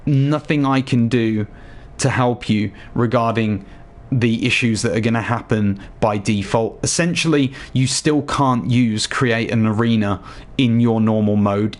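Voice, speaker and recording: A man with a slightly hoarse voice chatting casually to viewers while walking them through a game showcase. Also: medium pitch. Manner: chatty, addresses viewers directly. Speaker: gaming YouTuber. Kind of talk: casual game tutorial voice-over.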